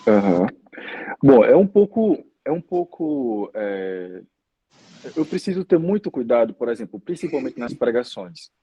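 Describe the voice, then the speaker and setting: Husky young Brazilian priest. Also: male voice, medium pitch, big pitch swings, warm tone, no echo. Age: 20s. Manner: measured, many "né?" tags. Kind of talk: interview answers.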